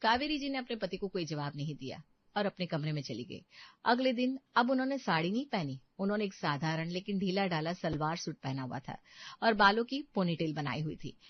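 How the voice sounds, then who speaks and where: A woman's voice: on the low side, lively intonation, fairly quick, dull audio. A woman narrating a scripted domestic story as a storyteller.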